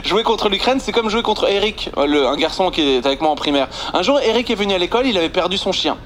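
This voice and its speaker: A man's voice: high voice, lively intonation, fast talker, a bit bright, a little hiss or hum. A Belgian man with a Belgian French accent doing a sarcastic comic monologue as a radio columnist. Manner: sarcastic, punchy joke delivery.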